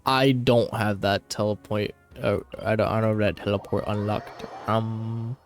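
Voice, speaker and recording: A man's voice: lowish voice, level tone, a little slow. A young man casually chatting while streaming a game, offhand, slangy and profane. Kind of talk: casual streaming chat, gameplay commentary. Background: game music and dialogue.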